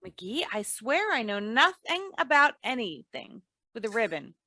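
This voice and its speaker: Woman playing bright, animated, wry radio wife. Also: a little high, measured pace, dry recording, full character voice. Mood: startled, dry. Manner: dry, teasing comebacks with homely endearments. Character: shrewd, long-suffering, affectionate radio-comedy wife with dry wit. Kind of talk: 1940s radio comedy acting.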